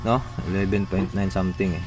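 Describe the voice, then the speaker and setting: Filipino male repair host, low voice, informal step-by-step talk while working, music behind. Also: fairly even intonation, brisk, low-quality recording. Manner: informal, talking through steps while working. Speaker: electronics repair tutorial host. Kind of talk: casual hands-on repair tutorial.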